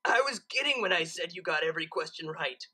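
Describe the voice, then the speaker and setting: Woman acting young male dragon helper, storybook figure, casual, mischievous, bright. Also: fairly low pitch, animated, brisk, slightly rough, heavily acted.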